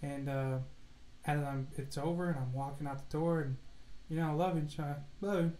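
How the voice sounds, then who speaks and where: A man's voice: a little high, fairly even intonation, normal speed, mellow. A man co-hosting a casual livestream chat, joking and telling stories while acting out quotes. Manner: joking, storytelling, acts out quotes. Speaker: co-host. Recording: echoey room.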